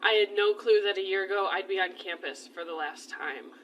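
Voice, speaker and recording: A woman's voice: mid-range voice, a little fast, a bit bright. A woman of twenty-two, a student journalist, answering interview questions in an earnest, reflective way in a newsroom. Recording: distant mic, faint room noise.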